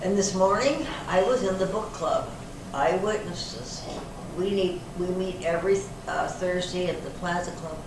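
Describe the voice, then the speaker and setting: A woman playing a feisty, indignant older suspect in an amateur readers theater reading, plain-spoken and slightly halting. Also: fairly low pitch. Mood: matter-of-fact. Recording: very echoey hall, distant mic, noisy.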